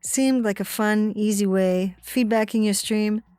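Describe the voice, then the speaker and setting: A woman's voice: medium pitch, steady tone, a little slow, darkish tone, smooth, no echo, close to an everyday voice. A woman playing a cheerful, ironic young Hungarian woman hacker in an audio story, speaking clipped, slightly broken English. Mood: apologetic. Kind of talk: dramatized audio story reading.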